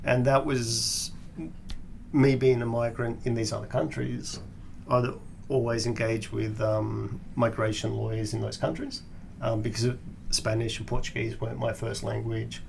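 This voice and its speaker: A man from Australia, a guest on an interview podcast, explaining his background in a measured way with frequent restarts. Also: medium pitch, level tone, vocal fry, slight background noise.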